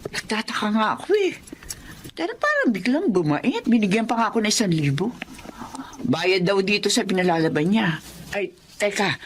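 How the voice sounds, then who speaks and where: A woman's voice: medium pitch, moderate pace, background noise, close to an everyday voice. An actress playing a middle-aged mother who takes in laundry, curious and caring, in a Filipino radio-style drama. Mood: chatty. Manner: expressive, rising to alarmed exclamations.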